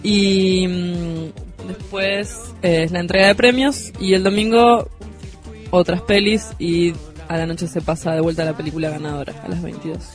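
A woman's voice: lowish voice, fairly even intonation, normal speed. Argentine woman chatting on radio over music. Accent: Rioplatense Argentine. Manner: slangy, informal. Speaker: radio show co-host. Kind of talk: casual radio chat.

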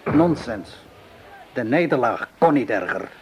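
An actor playing a middle-aged, cynical Parisian tavern keeper in a radio play, speaking in a weary, sardonic, measured way. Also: male voice, medium pitch, sing-song. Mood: scornful, sardonic. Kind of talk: Dutch radio play acting. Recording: noisy old radio play recording.

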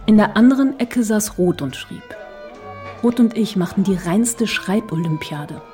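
A woman's voice: mid-range voice, lively intonation, measured pace, mellow, faint music behind, lightly acted. An actress playing a woman writer who looks back fondly and reflectively in a radio play memoir. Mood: fond, wistful. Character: admiring woman writer recalling her younger days.

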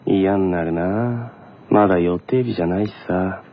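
A man voicing a sullen newborn boy who mutters drawn-out complaints like a weary grumbler on a radio show. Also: low voice, pitched below the performer's own voice. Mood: sullen grumbling. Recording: dull radio recording, faint noise.

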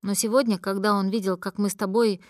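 A woman's voice: medium pitch, flat delivery, fast, no room sound, studio quality, close to an everyday voice. A woman reading aloud and voicing a puzzled young man who speaks hesitantly and earnestly.

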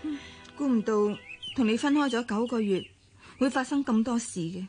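A refined, gentle young wife with a Hong Kong Cantonese accent, voiced by an actress in a radio play, speaking softly and kindly. Her voice is fairly high, with faint music behind it. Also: normal speed, close to an everyday voice. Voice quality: gentle, soft-spoken. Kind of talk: broadcast radio drama acting.

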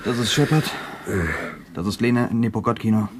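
Actor as an irritable, scornful activist, mid-pitched. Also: male voice, moderate pace, a little hiss or hum, close to an everyday voice. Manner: argumentative, scornful. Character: irritable, disillusioned eco-activist living in cramped cabin. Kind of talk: 1970s radio drama dialogue.

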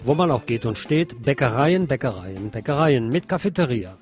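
A man's voice: medium pitch, animated, normal speed, over loud music. A man presenting on radio, reading scripted local news and commentary.

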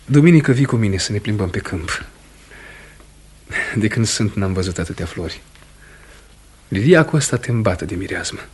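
An actor playing a stern, proud farmer husband who is quick to anger, in a brusque, emphatic Romanian radio theatre style. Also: male voice, lowish voice, lively intonation, a little mellow, husky. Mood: gentle, tired.

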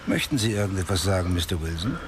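An actor playing a cynical, self-assured police detective in a radio crime drama, with a dry, sardonic manner. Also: male voice, sits low, sing-song, fast talker, background noise.

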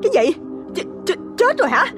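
Shrill, bossy young wife, high and swooping; storybook horror, faint music under. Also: male voice, big pitch swings, normal speed. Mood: startled. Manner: scolding, shrill falsetto. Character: sharp-tongued scheming young wife, harsh and bossy.

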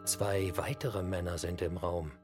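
A man reading scripted scene descriptions for a radio play in a measured, descriptive way. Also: deep voice, level tone. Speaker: narrator. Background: music behind the voice.

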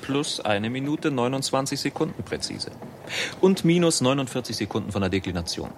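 A man acting a composed, exacting senior astronomer in a sixties German radio drama. His voice sits at a medium pitch, formal and factual, with clear vehicle noise behind it. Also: expressive, brisk, close to an everyday voice. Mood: composed, insistent. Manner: matter-of-fact, precise, formal. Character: composed, precise royal astronomer, a male scientist. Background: vehicle interior noise on a drive.

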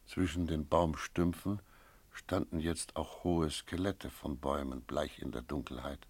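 Very deep, sober narrator. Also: male voice, fairly even intonation, unhurried, darkish tone, slightly rough, full character voice. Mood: sober, tense. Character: sober, wary male investigator recounting a night search. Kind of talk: first-person radio play narration with dialogue.

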